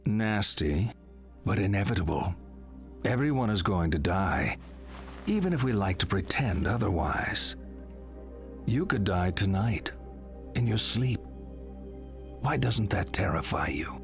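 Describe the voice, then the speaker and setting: A man playing an older, morbid psychiatrist in a video game, deliberate, needling and theatrical. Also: lowish voice, big pitch swings, gravelly, faint music behind, heavily acted.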